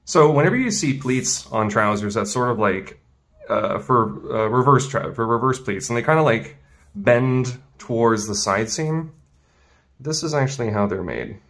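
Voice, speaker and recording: A man's voice: mid-range voice, expressive, moderate pace, a bit bright. A man teaching as a tailoring instructor in a casual hands-on demonstration, informal and thinking aloud as he works. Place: indoors at a work table.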